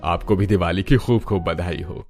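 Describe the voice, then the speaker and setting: A man's voice: low voice, normal speed, darkish tone, soft background music. A man voicing an affectionate, traditional elderly father-in-law in a Hindi cartoon story. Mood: cordial. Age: older.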